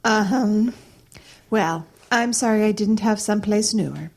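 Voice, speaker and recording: Plainspoken aunt for radio play: mid-range voice, folksy, brisk, kindly with an edge. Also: female voice, medium pitch, a little mellow. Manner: folksy, brisk, matter-of-fact. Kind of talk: audio drama acting.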